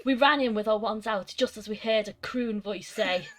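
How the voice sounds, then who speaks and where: A woman's voice: medium pitch, normal speed, a bit bright. An amused, giggly woman casually reading aloud and reacting on a group stream.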